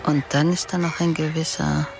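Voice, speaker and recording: A woman's voice: sits low, level tone, normal speed, creaky, noisy background. A woman acting in a German radio drama as a composed police investigator, formal and controlled as she questions. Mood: probing, firm. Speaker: actor playing a police investigator.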